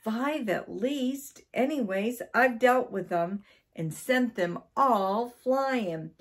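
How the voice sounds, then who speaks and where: A woman voicing a grumpy, blustering husband in a children's storybook, with gruff, emphatic delivery. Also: fairly high pitch, takes it slowly, pitched above the performer's own voice. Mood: boastful.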